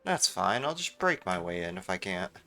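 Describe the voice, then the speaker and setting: A man's voice: low-pitched, very animated, normal speed, creaky, bright, forceful tone. A man giving casual, offhand live commentary as he streams a game, often talking to himself. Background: game music.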